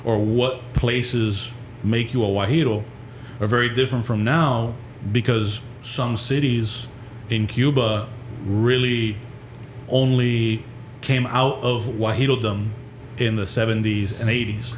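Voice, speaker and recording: A man co-hosting a casual podcast chat, explaining things in an unhurried way with hedging asides. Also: medium pitch, steady tone. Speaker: podcast co-host. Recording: echoey room with clear background noise.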